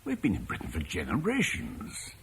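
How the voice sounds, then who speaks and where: A man's voice: lowish voice, wildly swooping pitch, moderate pace, a little hiss or hum. An actor playing Julian, a proud landowner refusing to leave his home, in an old radio play. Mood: uneasy. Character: proud Romano-British landowner Julian, stubborn. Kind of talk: 1950s BBC radio drama acting.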